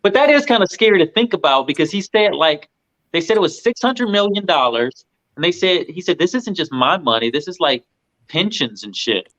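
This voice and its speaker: A man's voice: high-pitched, big pitch swings, moderate pace, a bit bright. A man chatting casually as a panelist in a movie discussion, swearing now and then and acting out quotes. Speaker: film podcast panelist. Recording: dull lo-fi sound.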